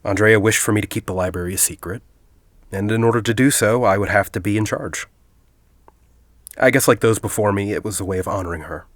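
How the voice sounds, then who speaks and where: A man playing a composed, persuasive university headmaster in an audio drama, speaking in a formal, deliberate way. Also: on the low side, no room sound, lightly acted. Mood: grave, sincere. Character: composed, persuasive university headmaster, grieving a colleague, quietly calculating.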